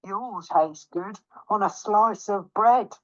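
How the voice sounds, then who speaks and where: A woman's voice: medium pitch, takes it slowly, no room sound, highs cut off, natural voice. A woman voicing a big, gullible male monster in a children's storybook, speaking in simple declarations. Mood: plain, menacing. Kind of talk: children's storybook read aloud.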